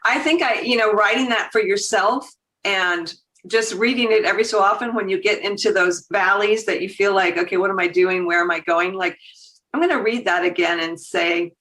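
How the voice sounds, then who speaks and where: A woman's voice: mid-range voice, moderate pace, fairly bright tone, poor audio. A woman in her fifties, a podcast guest talking casually and animatedly, laughing easily and voicing her own thoughts as quotes. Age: 50s. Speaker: guest, agency founder. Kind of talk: casual conversation.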